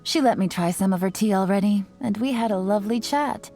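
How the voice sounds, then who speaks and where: An actress playing a sly, self-assured young woman intelligence agent in a video game, speaking in a coy, teasing, unhurried way. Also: mid-range voice, lightly acted. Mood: playful teasing. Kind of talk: scripted video game character voice lines. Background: soft music.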